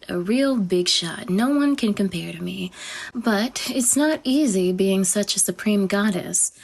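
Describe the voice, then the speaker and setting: A woman voicing a vain, possessive goddess in an audio roleplay, with a breathy, whispery voice and a self-adoring, teasing manner. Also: medium pitch. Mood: flirty whisper. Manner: self-adoring, teasing, sing-song swings up. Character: vain, possessive female goddess, adult, obsessive.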